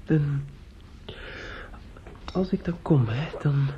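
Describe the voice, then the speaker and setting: An actor playing a grieving, bitter and desperate young man in a radio drama, halting and often dropping into whispers. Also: fairly high pitch. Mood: pleading, hushed. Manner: halting, repetitive, drops into whispers. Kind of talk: radio drama monologue acting. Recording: dull, noisy old radio recording.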